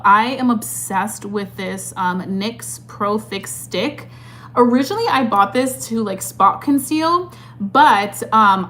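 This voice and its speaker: A woman's voice: mid-range voice, fairly bright tone. A chatty woman in her twenties with a mid-range voice, talking through her makeup routine and answering viewers. She is upbeat and easygoing, full of "like" and "um", with a faint hum behind her. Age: 20s. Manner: chatty and upbeat, filler-heavy with many "like" and "um". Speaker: beauty creator answering live chat. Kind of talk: casual chat while doing makeup.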